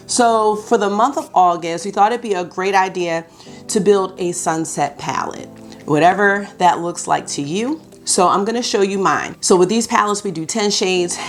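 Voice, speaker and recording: Chatty woman vlogging from her bedroom. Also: mid-range voice. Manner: chatty, upbeat, addresses viewers as y'all. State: slightly scratchy early-morning voice. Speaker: beauty vlogger. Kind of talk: casual beauty vlog talk to camera. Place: bedroom at home, indoors.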